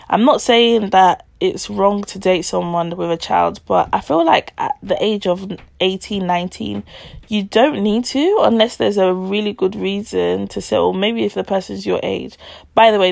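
Chatty young woman with heavy vocal fry. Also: medium pitch, a little mellow, no room sound. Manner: chatty, confiding, addresses listeners as guys. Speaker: podcast host telling a personal story. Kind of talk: casual storytelling.

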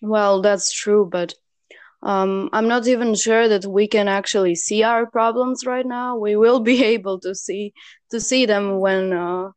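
A woman's voice: medium pitch, steady tone, measured pace, clean tone, no echo. Young woman from Serbia, dry close sound. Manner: hesitant, many fillers and restarts. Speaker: co-host. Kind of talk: casual discussion.

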